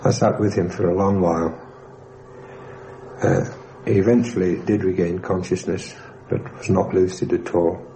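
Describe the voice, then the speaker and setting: Low, dark-voiced man, even delivery. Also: moderate pace, poor audio. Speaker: former RAF airman recalling his war experience. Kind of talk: documentary interview reminiscence. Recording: faintly hissy 1960s radio recording.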